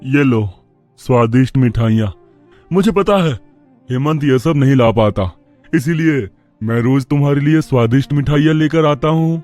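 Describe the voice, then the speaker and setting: Man acting rich young schemer, ingratiating, flattering, mid pitch, storybook cartoon, music behind. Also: moderate pace, lightly acted. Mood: flattering, sly. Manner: smooth-talking, ingratiating.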